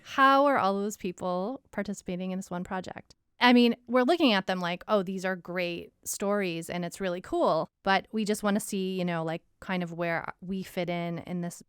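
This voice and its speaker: Woman, smooth clear voice, dry close sound, earnest reflective podcast chat. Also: fairly low pitch, normal speed, hardly any pauses, fairly bright tone, no echo. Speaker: podcast co-host, writer. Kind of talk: casual co-hosted podcast discussion.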